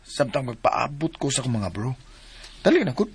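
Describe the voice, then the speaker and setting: A man's voice: medium pitch, very animated, moderate pace, a little hiss or hum. A man with a Cebuano Filipino accent acting an easygoing, offhand young son in a radio drama. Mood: casual, reassuring.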